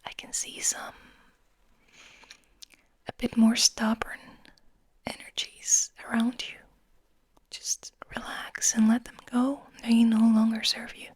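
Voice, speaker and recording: A woman hosting ASMR in a breathy whisper, speaking in a soft, soothing, unhurried manner. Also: fairly high pitch, completely flat delivery, dry recording. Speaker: ASMR host.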